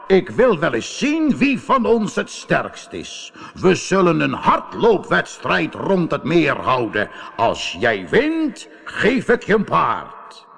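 A man voicing a big, boastful yet gullible lake giant for a fairy-tale audiobook, bellowing loudly with a booming, husky voice. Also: wildly swooping pitch, heavily acted. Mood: challenging. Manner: loud, drawn-out bellowing. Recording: long cavernous reverb on the voice.

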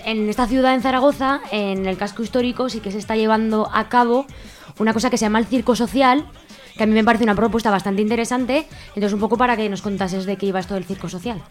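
A woman's voice: a little high, steady tone, fast, soft background music. A woman with an accent from Spain talking informally as a festival organizer in a radio interview. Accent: Spanish from Spain.

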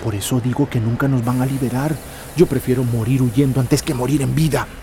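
An actor playing a desperate, worn-down migrant worker in a radio drama, earnest and pleading. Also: male voice, medium pitch, steady tone, brisk, lightly acted. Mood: despairing.

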